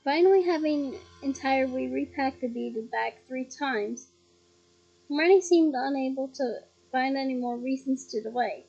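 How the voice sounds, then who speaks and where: A slightly hoarse woman reading a novel aloud at home, breaking off for chatty, giggly asides. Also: high-pitched, a little slow, dark tone, muffled recording. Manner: chatty, giggly asides between read passages. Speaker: reader of a novel. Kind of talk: casual read-aloud with asides. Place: at home on her bed.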